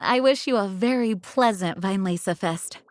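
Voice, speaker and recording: An actress playing a dutiful female knight commander, speaking in a formal, measured, courteous way. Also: medium pitch, slight background noise, lightly acted.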